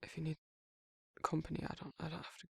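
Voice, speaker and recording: An actor playing a shy, protective werewolf in an intimate romantic ASMR roleplay, with a breathy, husky, hesitant and stammering delivery. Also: mid-range voice, monotone, fast, a little mellow, dry recording, clean studio sound, full character voice. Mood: bashful, nervous. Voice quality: husky, breathy, gravelly, often whispering. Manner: hesitant, rambling, stammering, bashful. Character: shy, awkward, protective male werewolf, big and tender.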